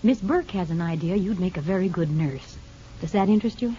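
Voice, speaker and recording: An actress playing a kindly, businesslike prison matron in a 1950s radio drama. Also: female voice, on the low side, a little fast, dark tone, slight background noise, lightly acted. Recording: muffled old radio broadcast.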